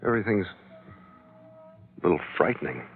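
An actor playing a tough, romantic sea captain in a 1940s radio adventure, speaking in a terse, wry way. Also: male voice, deep voice, very animated, unhurried, husky, heavily acted. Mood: tender, easy. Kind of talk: 1940s radio adventure acting and first-person narration. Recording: muffled old radio recording with music.

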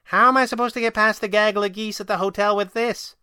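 A brash young crimefighting woman, acted by a man, sardonic and teasing. The delivery is bright and punchy, lifted a little in pitch, with slangy wheedling in an audio drama style. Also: fairly even intonation, fast, clean tone, dry recording, studio quality. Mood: scolding, grumbling. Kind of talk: audio drama character voice in narrated reading.

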